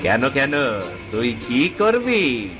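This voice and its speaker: A man's voice: medium pitch, big pitch swings, slow, over music. A man voicing a menacing, gloating villain sorcerer in a cartoon, with taunting, drawling threats. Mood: gloating, threatening. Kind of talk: cartoon voice acting.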